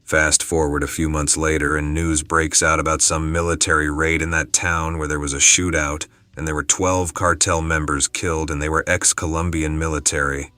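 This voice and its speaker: A man reading forum posts aloud in a monotone. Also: a bass voice, moderate pace, hardly any pauses, no room sound. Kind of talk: scripted narration. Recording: music underneath.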